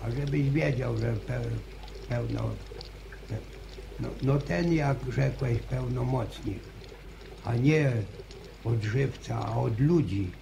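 An actor playing an old village grandfather who tells a slow, pious story in halting rustic speech, in a radio drama. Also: mid-range voice, gravelly, noisy background. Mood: storytelling. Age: older. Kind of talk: 1960s radio drama acting.

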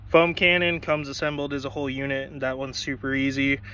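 A man's voice: medium pitch, brisk. A young man casually reviewing a pressure washer and foam cannon outdoors beside a car, chatty and swearing now and then, recorded on a handheld phone. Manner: casual, chatty, curses when excited. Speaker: car detailing vlogger.

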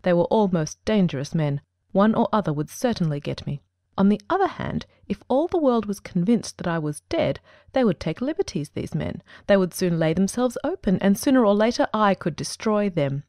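A woman playing a composed, precise male detective in a dramatic audiobook, speaking in a measured, formal and articulate way. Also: medium pitch, animated, a little mellow, dry recording, studio quality, close to an everyday voice. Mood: measured, grave.

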